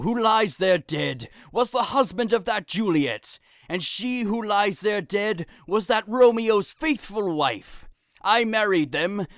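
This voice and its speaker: Actor playing an anguished high-voiced elderly friar. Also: male voice, a bit bright, no echo, studio quality. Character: anguished elderly friar confessing his guilt. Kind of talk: modernized Shakespeare audio drama acting.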